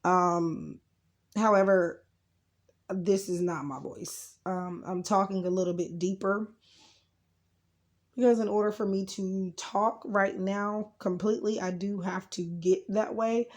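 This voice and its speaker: A woman hosting a casual, unscripted tarot card reading in a rambling, informal way, her voice a little rough from being sick. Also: medium pitch. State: getting over sickness, slightly rough voice. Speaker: tarot card reader and channel host.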